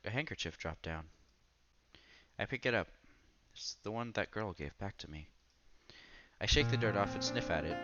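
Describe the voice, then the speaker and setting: A man's voice: fairly low pitch, lo-fi sound. A man reading a visual novel aloud as a streamer, with casual commentary along the way.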